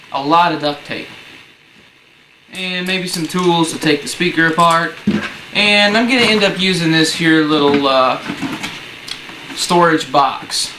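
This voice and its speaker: Guy doing a casual diy how-to, kinda high bright voice, slangy, some noise behind him. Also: measured pace, long pauses. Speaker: presenter of a DIY how-to video. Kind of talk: casual hands-on tutorial. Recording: noticeable background noise.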